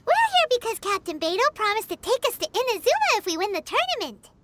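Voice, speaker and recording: A woman's voice: very high voice, very animated, quick pace, very noisy. A woman voicing a tiny, bubbly, sassy floating companion creature in a video game, blunt and teasing. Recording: game audio with loud music.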